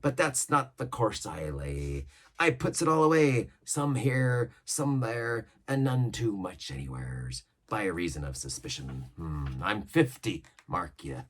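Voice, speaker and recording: A man's voice: lowish voice, sing-song, a little slow, slightly rough, exaggerated voice. A man voicing a scheming older pirate cook who talks in a coaxing, boastful seaman's way. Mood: wheedling.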